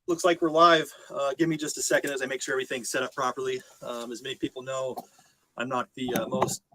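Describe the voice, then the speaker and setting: A man's voice: mid-range voice, level tone, fast talker, pushed and bright, poor audio. A man speaking casually and unscripted to live stream viewers, hesitant, polite and plain-spoken.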